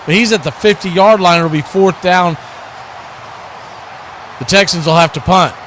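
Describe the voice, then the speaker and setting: An American man giving live play-by-play football commentary in a folksy, animated way, full of homespun exclamations and bursting into loud calls. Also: sits high, a little slow, a little hiss or hum. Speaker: play-by-play sports commentator on a radio watch party.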